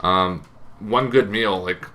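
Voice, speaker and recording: Bright-voiced man, casual gaming explainer. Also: deep voice, slow, a little hiss or hum. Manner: informal, self-correcting, hedging asides. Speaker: host of a tabletop gaming channel. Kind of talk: casual explainer on game monsters, semi-improvised.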